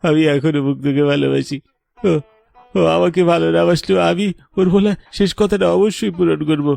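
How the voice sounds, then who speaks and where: A man's voice: on the high side, fairly even intonation, moderate pace, vocal fry, a little mellow, faint music behind. A man playing an earnest, solemn villager in a cartoon drama. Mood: heartbroken, resolute. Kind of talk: Bengali cartoon story voice acting.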